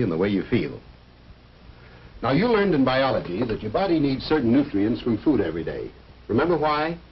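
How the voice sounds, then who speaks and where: A man's voice: lowish voice, slightly rough, exaggerated voice. A man playing an earnest, fatherly coach and biology teacher who lectures in 1950s educational film style. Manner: didactic, earnest, explanatory. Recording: muffled, noisy old educational film soundtrack.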